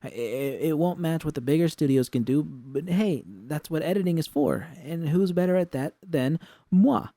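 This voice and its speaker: A man voicing an excitable, earnest young woman technician in an audiobook story, with urgent, halting, slangy speech. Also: fairly high pitch, no room sound, clean studio sound, pitched above the performer's own voice. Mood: excited.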